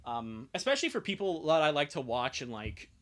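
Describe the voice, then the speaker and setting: A man's voice: fairly high pitch, sing-song, a little fast, a bit bright. A young man chatting casually on a livestream in a rambling, self-deprecating, profane way. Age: young adult. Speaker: streamer.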